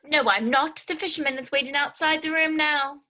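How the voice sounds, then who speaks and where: A woman's voice: sits high, fairly even intonation, fairly quick, pushed and bright. A woman playing a detective's loyal, modest male friend in a 1940s-style radio play, with an earnest manner. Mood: eager, earnest.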